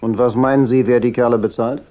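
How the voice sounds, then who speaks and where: An actor playing a cool, unflappable private detective with dry, wry retorts in a German radio crime drama. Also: male voice, medium pitch, fairly even intonation, fast, muffled recording, lightly acted. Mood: wry, probing.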